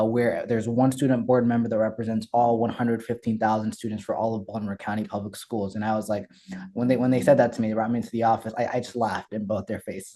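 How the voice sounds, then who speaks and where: A young man from Ethiopia, a guest telling his story in a candid, energetic way with frequent fillers and restarts. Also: mid-range voice. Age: young adult. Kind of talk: casual interview storytelling.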